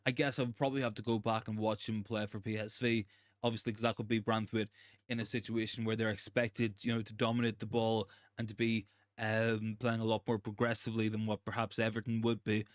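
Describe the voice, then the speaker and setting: A young man, hoarse and gravelly from a recent virus, hosting a casual live chat and reading out viewer comments, with many ums and repeated phrases. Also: lowish voice, little pitch movement, fairly quick, no room sound, studio quality. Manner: repeats himself, frequent ums and restarts. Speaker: livestream host.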